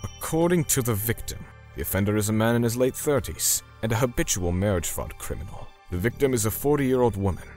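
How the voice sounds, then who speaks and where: A male actor as a righteous, menacing torturer narrating a manga story. His low voice is grim and measured, with music playing behind it. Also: expressive, a little mellow. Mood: grim, matter-of-fact. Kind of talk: scripted manga dub narration.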